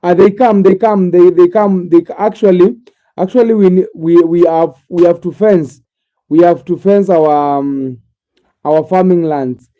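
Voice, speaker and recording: A man's voice: high-pitched, a little slow, phone-quality sound. Sleepy Kenyan man chatting on a live stream. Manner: repetitive, drowsy, talks directly to viewers by name. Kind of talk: casual live-stream chat with viewers. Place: home sitting room.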